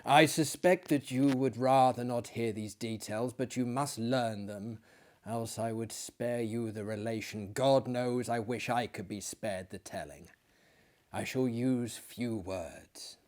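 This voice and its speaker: A man voicing a weary, haunted friend in a dramatic storybook reading, speaking gravely and deliberately. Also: medium pitch, lightly acted. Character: weary, haunted male friend recounting deaths.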